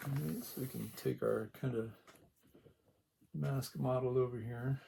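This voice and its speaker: A quiet-voiced man giving a casual, unhurried hands-on tutorial, talking along as he works, with a gravelly voice. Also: mid-range voice, steady tone, a little hiss or hum. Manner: unhurried, talks along while working. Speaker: craft tutorial host.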